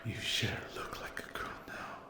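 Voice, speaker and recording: A man voicing a possessive, hungry sleep paralysis demon in an ASMR roleplay, whispering breathily with slow, taunting endearments. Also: close to an everyday voice. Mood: menacing, taunting. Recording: echoey reverberant voice.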